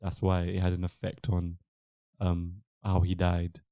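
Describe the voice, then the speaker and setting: Young English male podcast host, dark mellow tone, dry close sound, loose rambling delivery. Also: deep voice, a little slow, no echo, lo-fi sound. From England. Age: young adult. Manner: relaxed, rambling, many fillers. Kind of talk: casual podcast chat.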